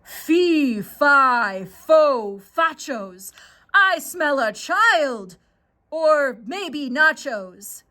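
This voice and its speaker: A woman voicing a huge, hungry female giant from a children's storybook, chanting in rhymes with a gruff, booming delivery. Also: lively intonation, extremely slow, bright, forceful tone, heavily acted.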